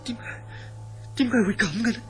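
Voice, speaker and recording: A man's voice: very high-pitched, fairly quick, over music. A man playing a grave, authoritative hospital director in a Cantonese radio horror drama, with a Hong Kong accent. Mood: horrified.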